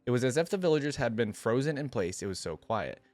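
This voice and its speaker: A man's voice: medium pitch, sing-song, fast talker, a little mellow, no echo, faint music behind. A man hosting a reaction video, casually thinking aloud and reading a story as he goes. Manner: talks to himself, thinking aloud with casual slang.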